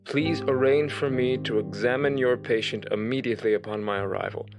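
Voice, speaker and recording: A male actor as a businesslike Victorian professor. His mid-pitched voice delivers clipped, formal phrases over soft music in a radio-play style. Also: fairly quick, close to an everyday voice. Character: brisk, formal professor dictating a telegram reply.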